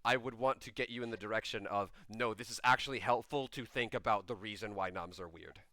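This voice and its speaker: Bright, crisp-voiced man explaining game rulings. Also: fairly low pitch, fairly quick, no room sound. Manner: explains rulings at length, laughs often. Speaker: storyteller running the game. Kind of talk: casual post-game chat.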